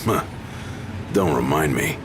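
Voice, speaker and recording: A blunt warrior companion in a game. His voice is very deep and gruff, with casual delivery over clear vehicle noise. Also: lively intonation, slow pace, exaggerated voice. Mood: skeptical. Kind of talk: video game cutscene acting. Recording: noisy vehicle ambience behind.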